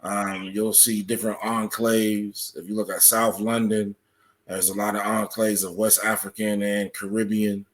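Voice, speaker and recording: A man with a fairly low voice speaks as an interview guest. He talks conversationally, laying out explanations and examples as he answers. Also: flat delivery, measured pace. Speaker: podcast guest, article author.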